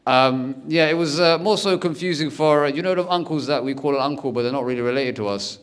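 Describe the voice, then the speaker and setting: A young man doing stand-up, telling stories in a lively way and acting out the people in them. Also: mid-range voice, fast talker, smooth. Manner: animated, acts out every character's lines. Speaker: stand-up comedian. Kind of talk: stand-up storytelling. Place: comedy stage.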